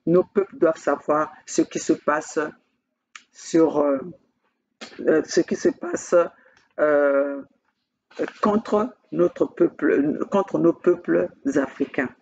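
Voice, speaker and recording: Woman activist to camera: husky, slow, halting, insistent repetition. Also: low voice, darkish tone, dull audio. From Congo. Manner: emphatic, repetitive, halting with many fillers. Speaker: political activist vlogger. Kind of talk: impassioned address to camera. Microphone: speaking to own camera.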